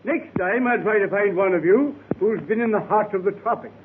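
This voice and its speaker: A man's voice: high voice, fairly quick, natural voice. An actor playing a genial male club chairman with a hearty, polite manner in 1930s radio drama. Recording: tinny 1930s radio recording with crowd murmur.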